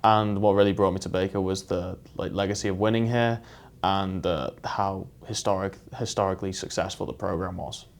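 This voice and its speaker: Young male athlete, twenties. Lowish voice, answering in an interview. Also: fairly even intonation, fairly quick, vocal fry. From Wales. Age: 20s. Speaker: college soccer player.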